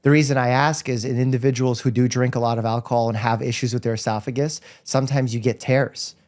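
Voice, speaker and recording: A man who grew up in Russia, with Russian as his first language, hosts a casual interview podcast. He is a family doctor and talks in an upbeat way, laying out medical points in plain terms. His voice sits at an ordinary male pitch. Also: fairly even intonation, quick pace, a little mellow.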